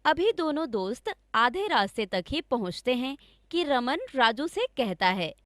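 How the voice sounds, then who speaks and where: A woman's voice: sits high, sing-song, a little slow, no room sound, studio quality. A woman narrating a scripted story for children as a storyteller.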